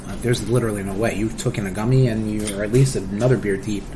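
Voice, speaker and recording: A man in his late thirties co-hosting a podcast, chatting casually in a rambling, animated way and swearing freely. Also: on the low side, fast, over a noisy crowd. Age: 30s. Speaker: podcast co-host. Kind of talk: casual chat. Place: home room with a dog.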